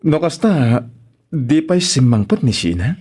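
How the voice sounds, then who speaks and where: A hardworking, easygoing family man in a radio drama. His mid-pitched voice moves widely up and down, with expressive, drawled interjections. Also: measured pace, slightly rough. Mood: concerned.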